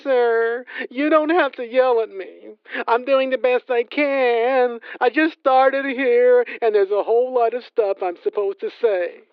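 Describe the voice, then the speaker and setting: A woman's voice: a little high, steady tone, measured pace, few pauses, clear, resonant tone, dry recording, narrow, tinny audio, close to an everyday voice. A woman playing a new young pizza clerk who keeps upselling in a children's comedy skit. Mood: flustered. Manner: chirpy upselling, flustered.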